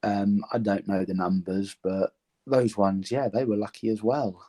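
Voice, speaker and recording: Soft-spoken man with an English accent. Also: on the low side, level tone, a little slow. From Romania. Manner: earnest, thoughtful, many ums. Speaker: interview guest. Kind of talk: reflective conversation.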